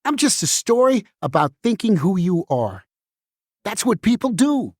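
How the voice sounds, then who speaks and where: A performer voicing a wistful, wronged book in a musical puppet show, speaking deliberately and plaintively. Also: mid-range voice, big pitch swings, darkish tone, breathy edge, dry recording, studio quality.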